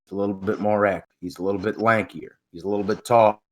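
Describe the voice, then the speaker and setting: A man's voice: lowish voice, steady tone, rapid-fire. A man calling in to a podcast, talking casually in a rambling, emphatic way full of swearing. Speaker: caller. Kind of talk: casual call-in chat.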